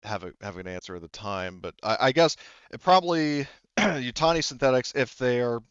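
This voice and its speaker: A man's voice: mid-range voice, animated, normal speed, fairly bright tone, clear, resonant tone, no room sound, muffled recording. A man acting as game master, explaining tabletop game details in a hesitant, rambling way. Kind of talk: improvised tabletop game narration.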